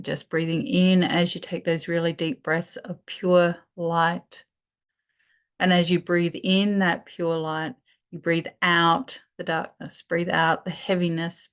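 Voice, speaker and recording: A woman leading a guided meditation, speaking in a soothing, unhurried way with pauses between phrases. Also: lowish voice.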